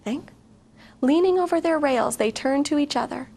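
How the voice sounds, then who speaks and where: High, creaky-voiced woman reading a storybook. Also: normal speed, darkish tone. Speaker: guest story reader. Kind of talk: expressive picture-book read-aloud to children. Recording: faint background noise.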